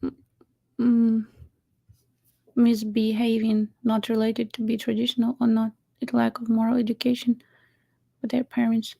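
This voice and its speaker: A woman's voice: a little high, completely flat delivery, unhurried, gravelly, long pauses, mellow, slightly rough. A woman from Ukraine with a Ukrainian accent, a matchmaker talking casually with many fillers, restarts and laughs. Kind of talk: casual chat.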